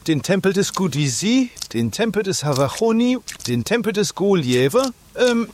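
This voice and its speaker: A man's voice: high-pitched, very animated, normal speed. A man playing a polite, obliging hotel porter in an amateur comedy radio play. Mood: helpful. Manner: polite, matter-of-fact. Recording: faintly noisy 1980s radio recording.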